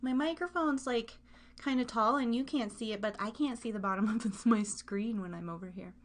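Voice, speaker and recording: A woman with a fairly high voice hosts a casual live radio show. She speaks playfully and loosely, wandering off and interrupting herself. She addresses her listeners directly, as if chatting with them. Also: normal speed. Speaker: live radio and podcast host.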